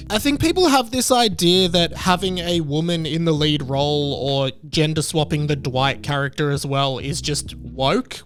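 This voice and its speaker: Man, fairly high voice; earnest, opinionated reviewer talking conversationally to viewers. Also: a bit bright. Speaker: video reviewer. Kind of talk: conversational video commentary.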